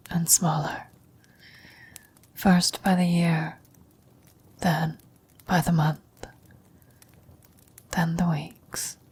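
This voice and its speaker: Woman doing a frail old lady on her deathbed, asmr whisper, really slow n halting, low flat voice, wistful, philosophical. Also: a little hiss or hum. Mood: weary, resigned. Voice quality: breathy whisper. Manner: slow, halting, reflective delivery with long pauses. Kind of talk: whispered ASMR roleplay monologue.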